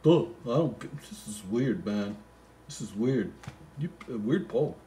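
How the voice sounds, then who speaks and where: Man playing a goofy, baffled reader with swooping pitch. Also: expressive, unhurried, warm tone, a little hiss or hum, natural voice. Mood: bewildered. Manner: bewildered, slangy, mock-indignant muttering. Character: Floyd, goofy, baffled, easily sidetracked male storybook reader. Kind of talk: comic poem reading with rambling asides.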